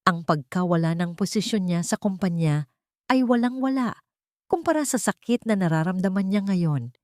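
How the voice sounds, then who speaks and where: A woman with a Filipino accent narrating a scripted drama story in measured, emphatic phrases. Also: medium pitch, expressive, no room sound, studio quality.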